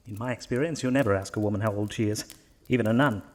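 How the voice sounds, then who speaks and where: A man's voice: fairly low pitch, quick pace, close to an everyday voice. A man playing a devout, hesitant priest in a horror audio drama, polite and formal with a slightly pompous turn of phrase. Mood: musing.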